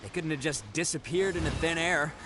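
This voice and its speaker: An actor playing a brash, cocky, good-hearted young adventurer in a video game, talking casually and boastfully. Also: male voice, on the high side, brisk, background noise, lightly acted. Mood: curious. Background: game music.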